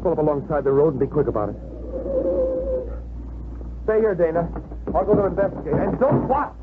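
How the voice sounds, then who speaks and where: Male actor as wry, stubborn young suitor, mid-pitched with big swings, 1950s radio drama, tinny and faintly noisy. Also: expressive, fairly quick, close to an everyday voice. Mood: urgent. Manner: wry, self-assured. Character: suave, stubborn, teasing young fiancé.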